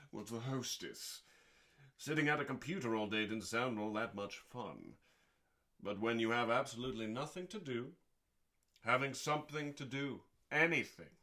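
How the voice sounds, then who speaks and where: A man with a fairly low voice reads from a book as the host of a reading show. His delivery is deliberate and theatrical, with pauses and stretched words, meant as calming listening for bedtime.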